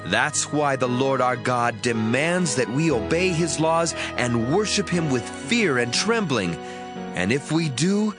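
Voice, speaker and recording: Man playing an earnest, animated Israelite father. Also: mid-range voice, a little slow, lightly acted. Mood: reverent, earnest. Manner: solemn, emphatic storytelling. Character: earnest, reverent Israelite father teaching his children their history. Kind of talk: dramatized scripture reading. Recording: music under the voice.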